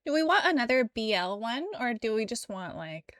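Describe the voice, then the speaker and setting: A woman livestreamer chatting casually with her viewers in a playful, giggly, expressive way. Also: a little high, normal speed, dry recording, studio quality. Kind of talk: casual chat with stream viewers.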